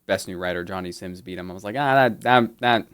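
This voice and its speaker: A man's voice: deep voice, brisk, fairly bright tone, clean tone. A man co-hosting a livestream, chatting enthusiastically and often restarting his sentences. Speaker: co-host and audio drama producer. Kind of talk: casual stream chat.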